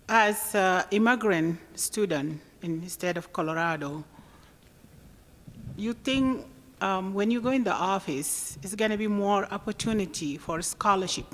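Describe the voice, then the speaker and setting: Woman, lowish voice, hesitant question, echoey hall. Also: a little hiss or hum. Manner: hesitant, halting phrasing. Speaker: audience member asking a question.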